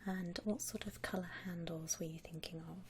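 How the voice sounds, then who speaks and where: A woman softly whispering in an ASMR roleplay as a polite, reassuring shop assistant helping a customer. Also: lowish voice, fairly even intonation, moderate pace, slight background noise. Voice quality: soft, breathy whisper. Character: courteous, obliging female coffin saleswoman.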